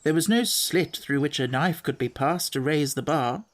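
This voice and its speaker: Guy reading an audiobook, slightly high voice, measured and precise literary narration. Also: lively intonation.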